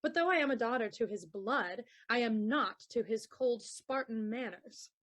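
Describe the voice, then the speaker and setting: A woman's voice: on the high side, lively intonation, moderate pace, a bit bright. A woman playing a dutiful but secretly rebellious young daughter in love in a Shakespearean audio play. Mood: troubled resolve.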